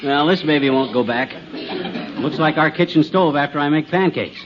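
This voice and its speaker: A man playing a boastful, high-pitched folksy husband. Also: normal speed, a crowd in the background, lightly acted. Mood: excited. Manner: folksy, ungrammatical braggart talk. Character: boastful, excitable small-town husband spinning tall tales. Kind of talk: 1950s radio comedy acting. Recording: dull old radio recording, studio audience.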